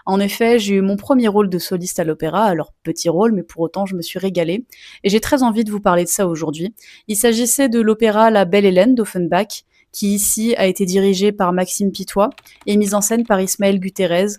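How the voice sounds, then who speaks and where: A woman's voice: mid-range voice, fairly even intonation, a little fast, darkish tone, no room sound. Chatty young woman, dry close sound. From Sicilian heritage. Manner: chatty, frequent fillers and asides. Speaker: vlogger and mezzo-soprano opera student. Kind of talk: casual storytelling to camera.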